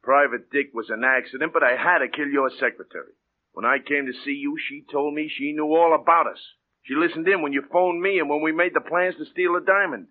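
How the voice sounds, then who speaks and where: A man's voice: fairly high pitch, fairly even intonation, fairly quick, close to an everyday voice. An actor in a 1940s radio crime drama playing a greedy, blunt hired killer who talks in tough-guy slang. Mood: demanding, aggrieved. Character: hard-boiled greedy crook, a hired killer demanding more money. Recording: old tinny narrow-band radio recording.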